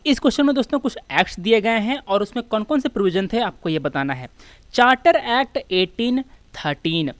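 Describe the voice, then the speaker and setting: A woman's voice: medium pitch, expressive, a little fast, no room sound. A woman with an Indian accent teaching an online exam-prep lesson, putting questions to viewers and repeating key words.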